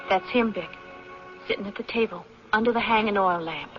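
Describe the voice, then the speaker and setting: A woman's voice: medium pitch, brisk, soft background music, close to an everyday voice. An actress playing an earnest, loyal young mountain woman in a radio drama, plain-spoken with her father. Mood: calm, guarded. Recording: dull, noisy old radio recording.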